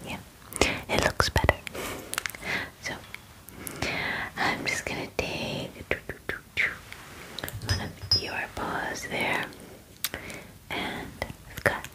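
A woman in her twenties doing a whispered ASMR personal-attention roleplay, gentle, soothing and breathy, close to the microphone. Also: rough recording. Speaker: ASMR roleplayer playing a werewolf spa groomer. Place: small indoor room. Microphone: close microphone, near the camera.

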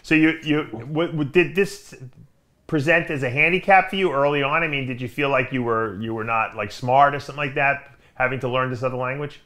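A middle-aged man hosting a video interview show from a studio, asking questions with lots of quick interjections. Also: medium pitch. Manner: energetic, interjecting often. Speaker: host. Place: studio with branded backdrop.

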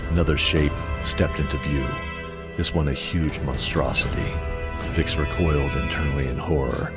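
A man's voice: extremely low pitch, steady tone, moderate pace. A man giving scripted narration for a fantasy audio drama. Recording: music under the voice. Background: music.

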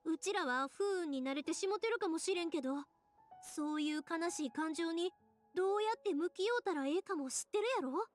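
A woman's voice: extremely high pitch, fairly quick, soft background music. An actress playing a kind-hearted young woman in an anime game, speaking earnestly and expressively with a Kansai accent. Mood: pleading, compassionate. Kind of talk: anime game dialogue acting.

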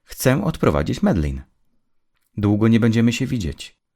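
Male narrator as earnest teenage girl, caring sister, raised pitch, animated, keen, persuasive, audio story dialogue. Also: moderate pace. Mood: determined.

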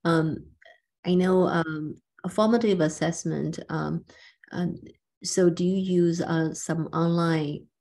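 A middle-aged woman, a teacher, haltingly putting a question on a video call. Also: fairly low pitch, fairly even intonation, darkish tone. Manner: halting, searching for words. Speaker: teacher, webinar participant. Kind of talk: hesitant question in discussion.

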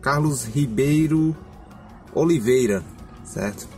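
A man's voice: medium pitch, slow pace, a little mellow, soft background music. A Brazilian man in his thirties chatting casually in a parked car outdoors while cleaning it, explaining each step. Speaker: vlogger. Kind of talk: casual vlog chat.

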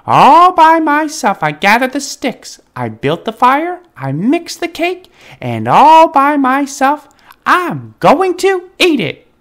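A reader voicing a hardworking little female hen in an exaggerated, high storybook character voice. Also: huge pitch swings, slow, fairly bright tone, exaggerated voice. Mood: indignant.

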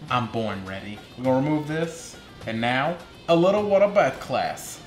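A man's voice: medium pitch, lively intonation, normal speed. A man hosting a casual home cooking video, cracking jokes and bantering with his wife. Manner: joking, playful banter. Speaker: cooking show host. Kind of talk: casual cooking vlog chat. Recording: echoey room, faint music.

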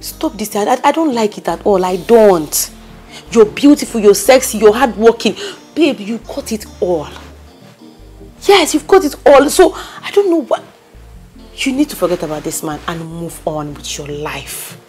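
A woman's voice: mid-range voice, very animated, measured pace, mellow, soft background music. A woman with a Nigerian accent acting a bossy, loyal young friend in a drama, speaking emphatically with Pidgin slang. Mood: exasperated, insistent. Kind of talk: naturalistic film drama acting.

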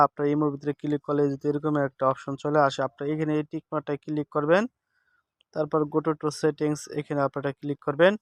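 A man's voice: a little high, fairly bright tone, dry recording, studio quality. A man giving a casual step-by-step tutorial, instructive and repeating himself as he goes. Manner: instructive, repeats steps and seeks confirmation. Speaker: tech tips host. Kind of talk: casual screen-recording tutorial.

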